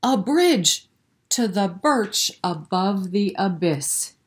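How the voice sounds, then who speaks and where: Female children's storyteller, mid-pitched and unhurried, expressive read-aloud delivery with explanatory asides. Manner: expressive, explains words and prompts young listeners.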